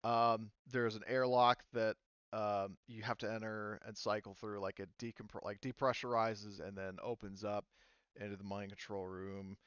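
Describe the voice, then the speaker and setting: A man with a lowish, clean voice runs a tabletop roleplaying game. He narrates off the cuff, stopping and correcting himself and swearing casually. The sound is dry and a little muffled. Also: flat delivery, fairly bright tone, clean tone, no room sound. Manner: halting, self-correcting, casually profane. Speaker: game master.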